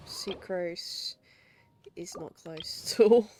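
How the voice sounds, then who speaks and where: A woman's voice: on the low side, extremely slow, dark tone, a little hiss or hum. A woman livestreaming a game, chatting casually and talking to herself as she plays. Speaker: game streamer. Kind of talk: casual livestream gameplay commentary. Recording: game music behind the voice. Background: game music.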